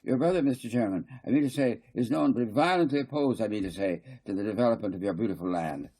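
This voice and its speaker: A man reading a story, voicing a flustered, argumentative town councillor who blusters haltingly and repeats himself. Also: on the low side, warm tone, voice pushed up. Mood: flustered, accusing.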